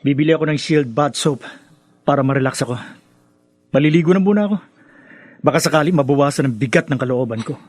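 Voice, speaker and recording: Man acting quick-talking, aggrieved, defensive man. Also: a little high, a little mellow, no echo, natural voice. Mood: hurt, defensive. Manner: defensive, dramatic. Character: aggrieved, defensive man burdened by false accusations. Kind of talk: Filipino radio drama acting.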